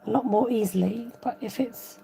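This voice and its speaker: A woman hosting a live card-making tutorial, thinking aloud as she works, chatting with viewers and reading out their comments. Her voice is a little croaky. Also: a little high, moderate pace, warm tone, poor audio. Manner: rambling, thinks aloud while working. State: slightly croaky voice. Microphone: overhead camera above a craft table, voice from off-frame.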